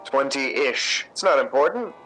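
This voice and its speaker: A man's voice: mid-range voice, animated, a little slow, a bit bright, soft background music, low-quality recording. A man playing an affable, uneasy, placating playwright in 1950s radio drama style.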